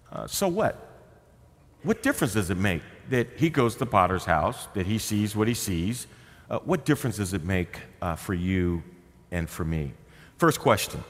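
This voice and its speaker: Man preaching in a reverberant church hall. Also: medium pitch. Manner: folksy, explanatory, swings from quiet asides to emphatic rises. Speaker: pastor. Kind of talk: sermon. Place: church sanctuary.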